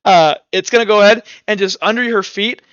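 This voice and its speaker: A man's voice: high-pitched, a little fast, fairly bright tone, clean tone, no room sound, lo-fi sound. A man improvising narration as game master of a tabletop game, casually thinking aloud as he tallies dice rolls.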